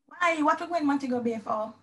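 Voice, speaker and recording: A woman's voice: sits high, a little fast, a bit bright. A woman playing a sulky, complaining young granddaughter with a Jamaican accent in a radio drama, whiny and questioning. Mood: sulky, irritated. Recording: distant voice, clear traffic noise.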